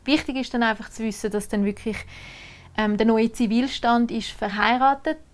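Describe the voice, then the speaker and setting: Woman, swiss german accent, fairly high voice, explanatory, laughs lightly now and then. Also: fairly even intonation, normal speed. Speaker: civil registrar. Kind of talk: casual interview answers. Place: Switzerland.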